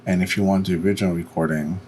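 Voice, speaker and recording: A man with a deep voice narrates a software screencast. He explains each step plainly and without hurry, with faint noise behind him. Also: fairly even intonation, quick pace. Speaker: tutorial host.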